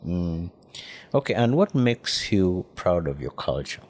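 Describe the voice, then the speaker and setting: A man interviewing a guest, asking short questions and murmuring agreement quietly. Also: low voice, very animated, unhurried.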